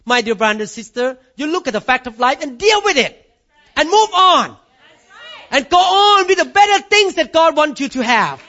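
A Thai man with a Thai accent preaches emphatically as a pastor, his grammar loose. His voice sits very high for a man. The recording is dull and lo-fi. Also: sing-song, moderate pace, bright, forceful tone. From Thailand. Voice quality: non-native grammar. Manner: emphatic preaching, voice rising and falling, calls of amen and hallelujah. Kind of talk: sermon.

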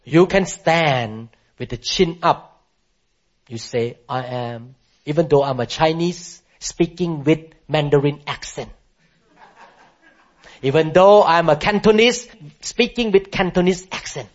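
A man with a high voice preaches to a congregation, pressing his points with emphatic, impassioned declarations and calling for responses from the room. The recording is dull and lo-fi, with a muffled top end. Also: takes it slowly. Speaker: pastor. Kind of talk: sermon.

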